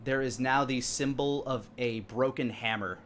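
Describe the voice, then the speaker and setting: A man runs a tabletop roleplaying game in a mid-range voice. He improvises detailed, halting descriptions over slight background noise. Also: mid-range voice, fairly bright tone. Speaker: game master. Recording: clearly audible background noise.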